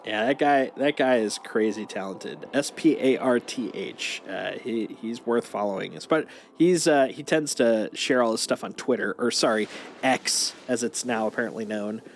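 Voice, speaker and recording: A man's voice: mid-range voice, normal speed, a little hiss or hum. A man livestreaming a video game, chatting casually as he plays. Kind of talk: casual chat while playing. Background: game music.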